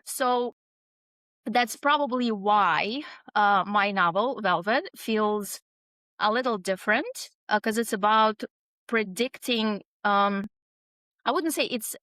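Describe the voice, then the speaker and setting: A middle-aged woman from Russia, speaking at an unhurried, deliberate pace. She answers reflectively and leans on fillers and tag questions. The recording is dry and close. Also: medium pitch, bright, forceful tone, no echo. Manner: thoughtful, frequent "um" and "right?", tag questions. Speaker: author guest. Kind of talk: conversational interview answer.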